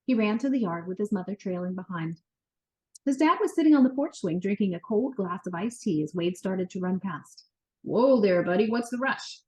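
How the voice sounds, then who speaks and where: A woman reads a children's story aloud in a mid-pitched voice. Her delivery is expressive and animated, shaped for young listeners as a storyteller's narration. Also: fairly quick, rough recording.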